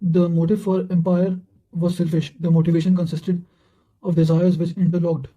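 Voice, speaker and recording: Very mellow, high-pitched man reading aloud. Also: little pitch movement, highs cut off. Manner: halting, often stumbles and repeats words. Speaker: narrator reading revision notes. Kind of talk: reading quotations aloud for study revision.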